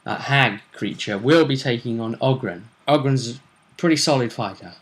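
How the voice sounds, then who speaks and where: A man's voice: mid-range voice, moderate pace. A man hosting a tabletop role-play show, casually talking through match-ups and often rephrasing as he explains.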